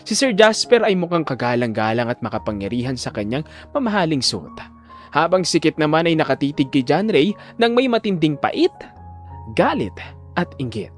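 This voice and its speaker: A man's voice: a little high, sing-song, moderate pace, faint music behind. A man delivering solemn, emphatic scripted narration for a dramatic audio story.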